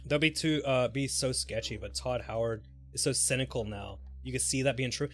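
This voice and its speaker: A man's voice: mid-range voice, moderate pace, fairly bright tone. A man chatting casually and informally on a live gaming stream, speculating in a rambling way. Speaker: game livestreamer. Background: background music.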